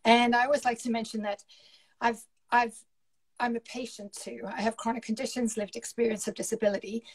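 A woman in her forties from South Africa with a South African accent, talking about her career in a relaxed, reflective way over a webcam video call from home. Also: a little high, a little fast. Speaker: journal editor-in-chief. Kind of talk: casual interview chat. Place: home room with curtain. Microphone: laptop webcam on video call.

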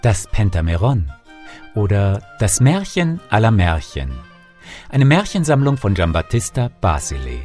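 A man reading a fairy tale as an audiobook storyteller, in a theatrical, measured way. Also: lowish voice, very animated, darkish tone, faint music behind. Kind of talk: scripted fairy-tale narration.

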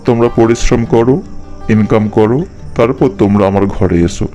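A man's voice: fairly low pitch, fairly even intonation, normal speed, dark tone, soft background music, lightly acted. A man playing a stern, fed-up farmer father with a commanding, unyielding manner in a children's cartoon.

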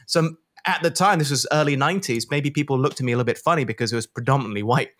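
A man's voice: medium pitch, racing, fairly bright tone. A man from Malaysia hosting an interview over a video call, chatty and effusive, speaking into a desk microphone. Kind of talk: friendly interview questions. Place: home studio, video call. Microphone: desk microphone on a boom.

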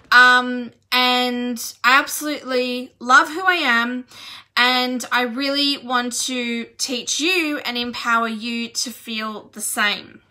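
A woman's voice: high-pitched, bright, forceful tone. A woman in her thirties from Australia gives an earnest, encouraging self-development talk to camera at home. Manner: earnest, encouraging, slow and deliberate. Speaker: business and self-development coach. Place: indoor room at home.

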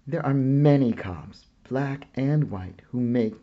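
A man's voice: mid-range voice, takes it slowly, dull audio. A man voicing an earnest, frustrated father in a read-aloud picture book, speaking firmly.

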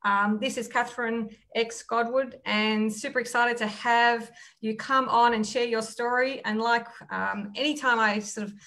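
A woman's voice: fairly high pitch, steady tone, unhurried, pushed and bright. Upbeat woman hosting a video call interview. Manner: upbeat, encouraging, many short backchannels. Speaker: interview host. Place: home living room. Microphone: video call with earphone mic.

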